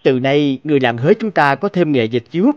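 A man's voice: fairly high pitch, lively intonation, quick pace, a little mellow, no room sound, muffled recording, natural voice. A man playing an earnest, devoted young scholar in a cartoon, speaking expressively. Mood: instructive. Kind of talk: cartoon voice acting.

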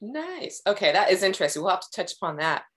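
A woman's voice: mid-range voice, sing-song, fast, fairly bright tone. A middle-aged woman hosting an online interview over a video call, friendly and rambling with fillers. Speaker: webinar host. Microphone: video call webcam.

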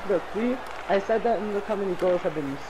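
Giggly high-voiced young man teasing a friend. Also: quick pace, highs cut off, crowd noise, noisy background. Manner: teasing, giggly, crude jokes. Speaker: co-commentator. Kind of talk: casual chat over a game.

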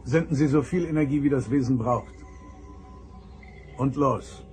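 An actor playing a stern, authoritative starship captain in a radio play, speaking in a measured, commanding way. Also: male voice, mid-range voice, over music, close to an everyday voice.

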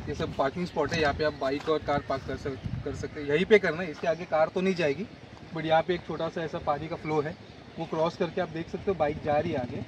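A man's voice: a little high, noisy background. A man vlogging outdoors, explaining directions step by step as he walks a rural trail. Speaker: travel vlogger. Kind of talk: casual guide to a trail.

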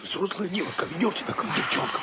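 An actor playing a flustered, avuncular ship's captain in a radio play, blustering and exhorting. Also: male voice, high voice, fast, lightly acted. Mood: chiding, pleading. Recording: noisy radio play, ship ambience.

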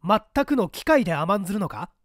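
Guy doing a sardonic rebel party man, high voice, swooping intonation, argues formally in an audiobook drama. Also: very animated, fairly quick, no room sound, clean studio sound. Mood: indignant. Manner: argumentative, formal, rhetorical. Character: defiant, questioning male party operative, cool and sardonic. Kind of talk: dramatic audiobook character dialogue.

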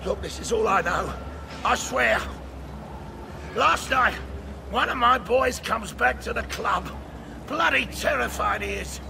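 A high, gravelly Cockney gangland boss from a video game. He is crude and blustering, drawing out his threats and pleas in a squawking voice. Noisy game ambience sits behind him. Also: slow pace, long pauses, exaggerated voice. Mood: frightened, defiant. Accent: British, Cockney. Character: crude, blustering British crime boss, panicked and taunting.

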